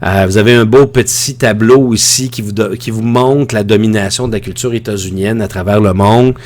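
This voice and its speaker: A man's voice: fairly low pitch, fairly even intonation, moderate pace, darkish tone. A man with a Quebec French accent teaching an informal online lecture in a conversational, opinionated way that often digresses. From Quebec, Canada. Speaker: high school teacher.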